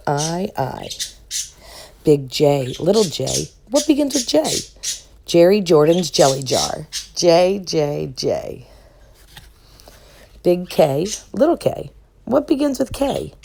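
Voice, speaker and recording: Slow, sing-song woman reading to children. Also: sits low, vocal fry, mellow. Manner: deliberate, sing-song phrasing with questions and repeated letters. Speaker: children's book reader. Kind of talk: read-aloud of a rhyming alphabet picture book.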